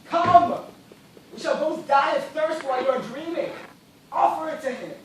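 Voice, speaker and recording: Distant and swimming in echo over clear background noise, a man plays a gentleman castaway dying of thirst. He is weakened, bitter and impatient, speaking in formal theatrical phrases for an amateur stage play. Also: very high-pitched, moderate pace. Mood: furious. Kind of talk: amateur one-act stage play acting. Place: indoor theatre stage, recorded from the audience distance. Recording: very echoey noisy hall, far away.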